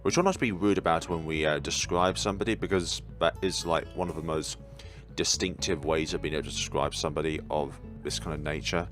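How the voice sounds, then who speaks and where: A man's voice: very deep voice, fairly even intonation, fairly quick, a bit bright, soft background music. A man hosting a visual novel playthrough, reading the narration expressively and adding reflective commentary. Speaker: gaming video host.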